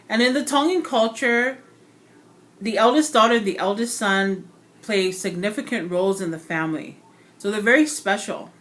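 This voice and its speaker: A woman from Hawaii delivers a prepared class speech at an unhurried, deliberate pace. She sounds earnest and reflective, with pauses and small self-corrections, and her voice sits at an ordinary middle pitch. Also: a bit bright. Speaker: student giving a class speech.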